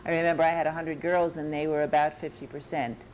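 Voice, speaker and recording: An older American woman with a New York accent, recalling her teaching years in an oral history interview, pausing and restarting as she searches her memory. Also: low-pitched, level tone, darkish tone, slight background noise, low-quality recording. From United States.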